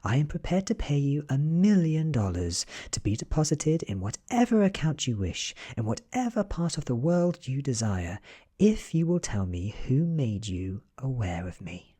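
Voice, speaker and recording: A British man voices an ancient vampire woman from a storybook, cool and controlled. The voice is soft and husky, swinging widely in pitch while the threat stays quiet and measured. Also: big pitch swings. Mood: controlled, threatening. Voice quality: soft, breathy. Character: ancient, beautiful female vampire, cool and menacing. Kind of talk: soft-spoken ASMR storybook reading.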